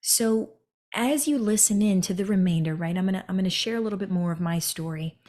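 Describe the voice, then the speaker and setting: A woman hosting a podcast, telling her own story in an earnest monologue and often turning to the listener with a quick "right?". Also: medium pitch, a little mellow. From United States. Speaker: podcast host.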